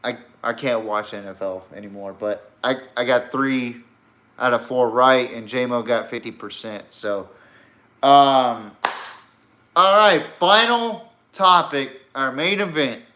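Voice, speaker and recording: Male podcast host, unhurried delivery with a bright, forceful edge; blunt, rambling, opinionated. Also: medium pitch. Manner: rambling, blunt, profane, emphatic. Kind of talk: casual opinionated sports and politics commentary.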